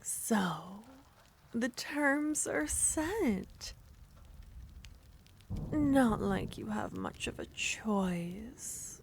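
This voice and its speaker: A woman voicing a seductive, possessive witch, speaking softly in half whispers with a teasing manner in an ASMR roleplay. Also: on the high side, lively intonation, extremely slow, pauses often, a little hiss or hum.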